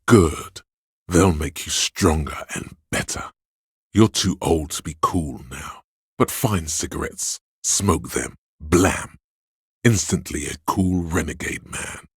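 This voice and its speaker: A man voicing a seductive, hedonistic inner voice of craving in a video game, gravelly and husky, coaxing slyly and savouring his words. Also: a bass voice, no echo, studio quality, full character voice. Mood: coaxing, smug. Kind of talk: dramatic video game narration.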